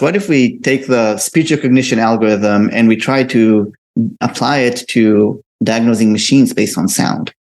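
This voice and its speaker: A middle-aged man answering interview questions as a tech founder, conversationally, often pausing on ums and uhs. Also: medium pitch, gravelly, a little mellow. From Israel. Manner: hesitant pauses with frequent ums and uhs. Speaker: interview guest, tech company co-founder and CEO.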